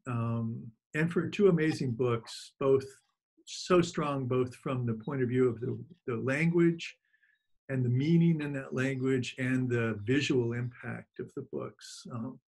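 A man informally hosting an online event, speaking in a halting, appreciative way as he gives thanks and announcements over a video call. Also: mid-range voice, vocal fry, a little mellow, rough recording. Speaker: host of an online book reading, press editor.

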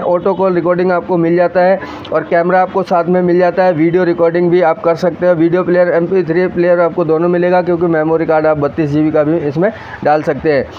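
A man in his thirties casually presenting a product to viewers, explaining it in a repetitive, salesman-like way. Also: high-pitched. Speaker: host of a phone unboxing channel. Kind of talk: casual product demonstration to camera. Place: small mobile phone shop, indoors. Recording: muffled lo-fi audio with background noise.

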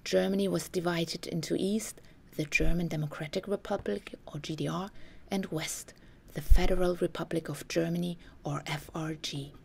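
A woman narrating a scripted documentary story in a measured, carefully enunciated way. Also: on the low side, fairly even intonation, creaky, breathy edge.

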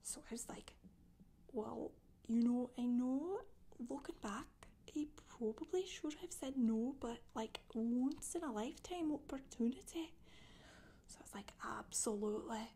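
A woman in her thirties playing a chatty Scottish witch in soft-spoken, breathy, deadpan ASMR storytelling with a put-on Scottish accent. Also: on the high side, a little slow, heavily acted. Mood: casual, confiding. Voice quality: breathy, whispery. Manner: hesitant, colloquial, deadpan.

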